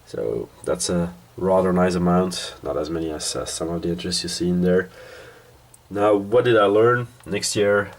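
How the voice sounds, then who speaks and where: Man, low-pitched, nasal with a cold, halting, casual hobby vlogger from Belgium. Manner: hesitant, halting delivery. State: has a cold. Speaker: hobby video host. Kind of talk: casual rambling hobby update.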